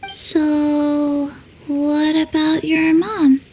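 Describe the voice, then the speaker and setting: A woman voicing a small, placid little girl in a video game, speaking in a halting, deadpan manner. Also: high-pitched, a little hiss or hum, low-quality recording.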